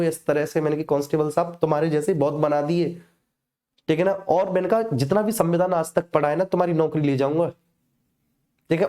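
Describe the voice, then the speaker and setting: Mellow North Indian man teaching a class. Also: a little high. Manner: colloquial, didactic, checks in with repeated tag phrases. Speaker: coaching teacher. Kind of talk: live online exam lecture with personal anecdotes.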